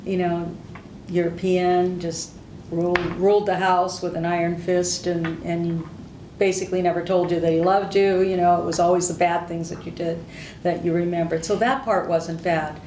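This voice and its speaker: A woman's voice: lowish voice, level tone, moderate pace, dark tone, far from the mic, a little hiss or hum. An older woman, a native Hungarian speaker, telling stories from her service candidly in an oral history interview. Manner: candid, plain-spoken storytelling. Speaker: veteran interviewee. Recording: roomy echo.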